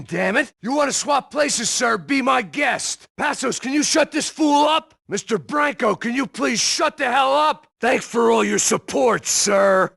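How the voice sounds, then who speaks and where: An actor playing a middle-aged, hard-drinking ex-cop in a noir video game, with a deadpan, sardonic, self-loathing manner. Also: male voice, medium pitch, creaky, no echo, studio quality, full character voice. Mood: furious shouting. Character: world-weary, hard-drinking male ex-cop turned failing bodyguard.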